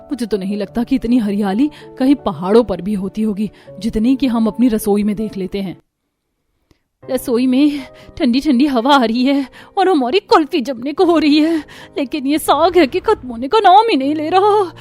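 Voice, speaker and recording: A woman's voice: high-pitched, moderate pace, dark tone, faint music behind. A woman voicing an exasperated, sly young daughter-in-law in a cartoon story, complaining dramatically. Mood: angry, fed up. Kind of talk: Hindi cartoon story acting.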